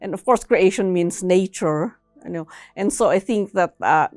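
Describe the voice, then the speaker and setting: Woman, interviewee, low voice, measured and halting explanatory delivery, very dry close sound. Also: dry recording, faint music behind. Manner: measured, halting, ending phrases with a tag "no?".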